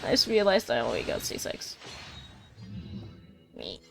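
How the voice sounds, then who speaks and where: A woman's voice: a bass voice, huge pitch swings, measured pace, gravelly. A woman doing casual live game stream commentary, playful and rambling with mock-indignant asides. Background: game music.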